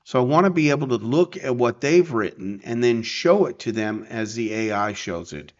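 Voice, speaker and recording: Male tutorial presenter, mid-pitched, informal and explanatory, slightly muffled lo-fi voice-over. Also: animated, normal speed. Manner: informal, explanatory, checks in with "okay" and "right". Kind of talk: casual screen-share software tutorial.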